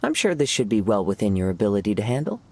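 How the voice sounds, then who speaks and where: A man voicing a gentle young wandering poet in an anime game, serene, formal and soft-spoken. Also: lowish voice, big pitch swings, fast, a little hiss or hum. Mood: calm, reflective. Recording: music behind the voice.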